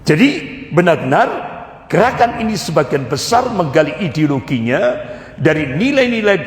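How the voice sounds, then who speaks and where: A man preaching to a congregation, emphatic and repetitive, asking rhetorical questions. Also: on the high side. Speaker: Islamic preacher. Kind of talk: religious lecture to a congregation. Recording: echoey hall with long reverb.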